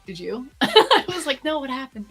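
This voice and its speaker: A woman co-hosting a vlog, telling a personal story in casual, informal and animated chat. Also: high-pitched, moderate pace, faint music behind. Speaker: vlog co-host.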